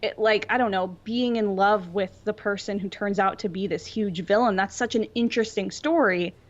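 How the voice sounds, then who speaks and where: A young woman co-hosts a casual fan podcast, talking at a middling pitch. She rambles through her opinions in loose, conversational speech. The audio is a little dull and lo-fi. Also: normal speed. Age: young adult. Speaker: podcast co-host. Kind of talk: casual fandom discussion.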